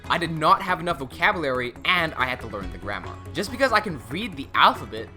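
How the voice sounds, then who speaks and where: A man's voice: fairly high pitch, big pitch swings, fast talker, music in the background. A young man whose first languages are English and Cantonese, talking candidly and self-mockingly in a casual vlog, recorded in a small room. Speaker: language-learning YouTuber.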